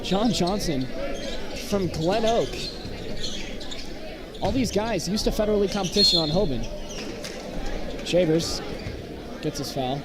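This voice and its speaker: Male play-by-play caller, high voice, clipped basketball calls over heavy gym noise. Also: animated, measured pace, long pauses. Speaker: play-by-play sports commentator. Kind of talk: live high school basketball radio commentary. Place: indoor high school gymnasium. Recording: loud gym crowd and court noise. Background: crowd, bouncing basketballs.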